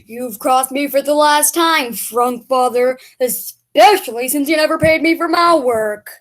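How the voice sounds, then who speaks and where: A woman's voice: high-pitched, unhurried. A woman playing an aggrieved, hot-headed bandleader devoted to his chickens in a comic old-time radio play.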